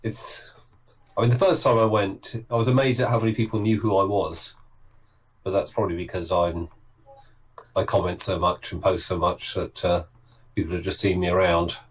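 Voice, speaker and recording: A hoarse man, a guest on a live audio show, chatting in an easygoing way and recounting things at length. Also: deep voice, little pitch movement, normal speed, low-quality recording. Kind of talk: casual conversation.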